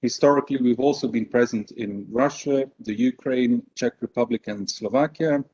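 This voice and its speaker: A man born in Belgium giving a business presentation at a conference, measured and hesitant, with frequent fillers. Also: medium pitch, darkish tone, slightly rough, poor audio. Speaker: conference speaker.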